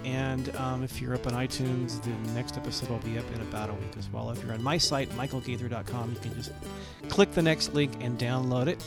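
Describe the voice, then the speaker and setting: A man hosting a podcast, giving a relaxed scripted sign-off and plugs. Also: mid-range voice, brisk, vocal fry, hardly any pauses. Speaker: podcast host. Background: guitar music.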